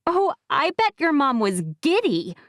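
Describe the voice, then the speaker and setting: Audiobook friend role, acted by a woman: eager young woman, high voice, inquisitive and incredulous. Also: very animated, a little slow, dry recording, clean studio sound, heavily acted. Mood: curious, sympathetic. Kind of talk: audiobook character dialogue.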